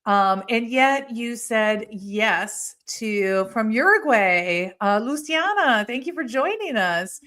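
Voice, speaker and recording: A woman's voice: on the high side, lively intonation, slow pace, hardly any pauses, bright, forceful tone. A woman hosting a live podcast over a video call, greeting viewers by name in a chatty way. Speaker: podcast host. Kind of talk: live-streamed podcast chat.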